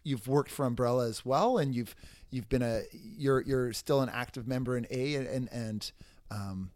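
A man hosting an interview, speaking haltingly with many repeated words and restarts. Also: mid-range voice, darkish tone, no echo. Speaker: interview host. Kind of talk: casual conversational interview.

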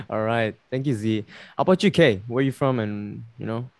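Brief: young male podcast host, easygoing and giggly, mid-pitched, dry close sound. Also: animated, moderate pace, darkish tone, no echo. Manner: chatty, laughs often, many "like" fillers. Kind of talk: casual podcast chat.

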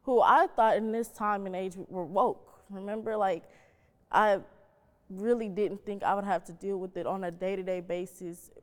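Unhurried young woman in an echoey hall. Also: mid-range voice, a little mellow. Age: young adult. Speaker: student panelist. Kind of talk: reflective panel answer. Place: stage in a reverberant hall. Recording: echoey hall with long reverb.

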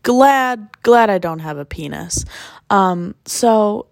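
A woman hosting a casual solo podcast while sick, with a raspy voice, rambling and joking at her own expense. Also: lowish voice, no room sound, studio quality. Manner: rambling and self-deprecating with jokes. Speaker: podcast host; music producer.

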